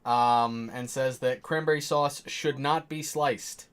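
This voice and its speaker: A man with a clear, smooth voice of middling pitch chats informally. He sounds like a crew member on a talk show, talking in a conversational way. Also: fairly even intonation, slow. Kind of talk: casual chat.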